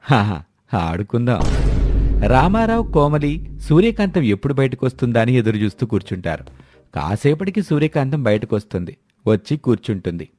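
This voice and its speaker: A man's voice: mid-range voice, very animated, brisk, warm tone. A man narrating a scripted moral tale in a storybook style.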